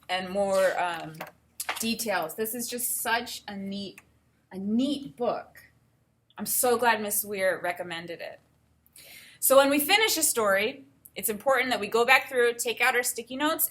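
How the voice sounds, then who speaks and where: A woman teacher giving an expressive read-aloud for children, with enthusiastic explanatory asides. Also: mid-range voice, slight background noise. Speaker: teacher reading a picture book aloud.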